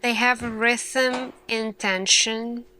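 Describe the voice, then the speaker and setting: A woman playing an earnest lead scientist in an ASMR roleplay, speaking deliberately in short phrases with dramatic pauses. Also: fairly high pitch, fairly even intonation, pushed and bright, slight background noise. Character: earnest female lead scientist addressing her team. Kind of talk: slow ASMR roleplay monologue.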